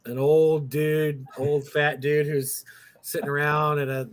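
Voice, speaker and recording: 60s dude chatting on a stream, kinda high voice, stumbles and repeats himself a lot. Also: male voice, level tone, slow pace. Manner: rambling, repeats words when starting. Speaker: podcast co-host. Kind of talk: casual chat.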